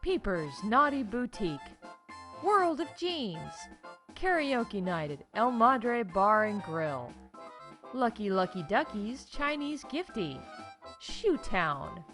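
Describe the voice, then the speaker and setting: A woman playing a mock-salesy sponsor announcer in a parody commercial, with drawn-out emphasis and deadpan punchlines. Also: mid-range voice, soft background music, heavily acted. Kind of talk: parody commercial read, scripted.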